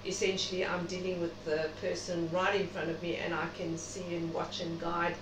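South African woman, lowish voice, echoey recording. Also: little pitch movement, normal speed. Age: middle-aged. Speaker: interview guest, doctor. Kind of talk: reflective interview conversation. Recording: noisy, echoey room, distant mic.

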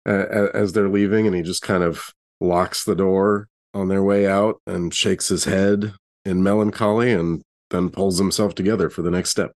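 Very deep-voiced man at a game. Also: steady tone, normal speed, a little mellow. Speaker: player in a tabletop roleplaying podcast. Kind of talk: casual improvised game talk.

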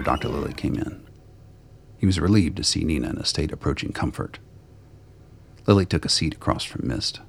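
A man with a gravelly voice reading scripted narration for a radio drama. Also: extremely low pitch, a little hiss or hum.

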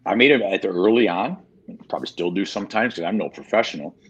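A man's voice: low voice, expressive, fast, husky. A man from mid-Michigan with a Michigan accent touched by Southern speech, a guest in a casual online interview who rambles and repeats his words. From mid-Michigan, USA. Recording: muffled lo-fi video call audio.

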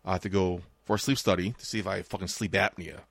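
A man's voice: low voice, steady tone, quick pace, vocal fry, a bit bright, no echo. A man in his late thirties co-hosting a podcast, telling a story in casual chat, swearing freely and acting out the quoted lines. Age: 30s. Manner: swears freely, tells anecdotes with quoted dialogue. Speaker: podcast co-host.

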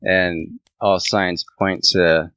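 An American man, a podcast co-host, chatting casually in an agreeable, measured way. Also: low voice, steady tone, a bit bright, no room sound. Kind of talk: casual chat. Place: outdoors by a fire.

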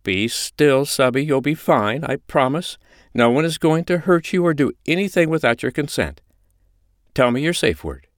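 A man's voice: a little high, very animated, moderate pace, no echo, clean studio sound, pitched above the performer's own voice. A man voicing a firm, commanding but reassuring mistress in an audiobook story. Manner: firm, instructing, soothing. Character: commanding, reassuring adult woman, a dominatrix mistress.

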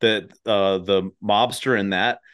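Low-voiced man chatting casually, rambling and jokey. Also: fairly even intonation, slow, a bit bright. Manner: rambling, jokey, swears freely. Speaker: podcast host. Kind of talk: casual comedic chat.

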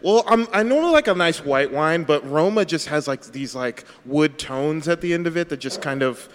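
Bossy, wine-loving romantic partner acted by a man, raised pitch, gushy, improvised radio comedy in a reverberant hall. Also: animated, moderate pace, slight background noise, natural voice. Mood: chatty, gushing. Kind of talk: improvised parody of a radio play.

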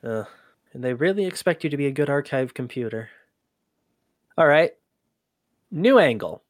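An actor playing an inquisitive human archivist in an audio drama, with a conversational, halting, often exasperated manner. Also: medium pitch, lively intonation, dry recording, studio quality, natural voice. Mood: wry, weary. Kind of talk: scripted audio drama acting.

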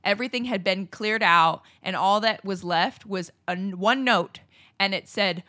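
A woman's voice: mid-range voice, moderate pace, no room sound, clean studio sound. A young woman co-hosting a podcast, casually telling a creepy story with jokey, profane asides. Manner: animated, swearing, jokey asides. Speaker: podcast co-host.

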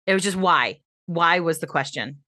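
Low-voiced woman, close and dry. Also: normal speed, no echo. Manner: relaxed storytelling, laughing at herself. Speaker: podcast co-host. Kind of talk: casual chat, telling a true-crime story.

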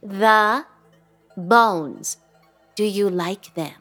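A woman's voice: medium pitch, a bit bright, faint music behind. An actress playing an unsettling, grandly affected young woman landlady in a horror comedy radio play. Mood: insistent. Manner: lilting, grandly affected, drawn-out words. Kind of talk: radio drama acting.

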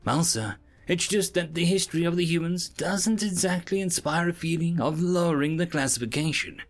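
A man's voice: on the high side, moderate pace, faint music behind, pitched above the performer's own voice, lightly acted. A man voicing a nervous, deferential male alien assistant, hesitant and questioning, in a science fiction story.

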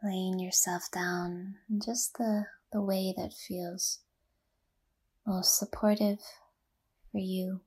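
A woman leading a guided yoga nidra meditation in a soft, breathy half-whisper, gently and with long pauses. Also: mid-range voice, level tone.

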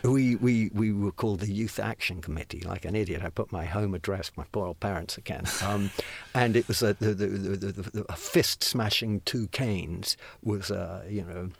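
A man, telling lively anecdotes with frequent stammered restarts. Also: on the low side, normal speed, gravelly, hardly any pauses, slightly rough. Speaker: guest telling stories. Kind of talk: animated anecdote, acting out voices.

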